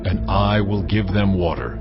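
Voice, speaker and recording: A solemn, authoritative deity in an audio Bible drama. The voice is fairly low and commanding, with music clearly behind a slightly dull recording. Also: male voice, unhurried.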